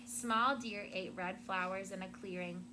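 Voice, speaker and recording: A woman teacher reading a story expressively to children and pausing to explain words simply, with noise behind her. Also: medium pitch, steady tone. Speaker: elementary school teacher. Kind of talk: read-aloud storytelling to children, with questions and vocabulary asides. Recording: loud background noise.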